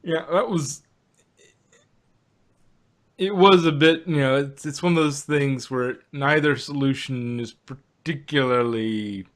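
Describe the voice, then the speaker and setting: A man's voice: medium pitch, slow. A man co-hosting a casual review podcast, rambling with plenty of fillers and wry asides. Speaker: podcast co-host.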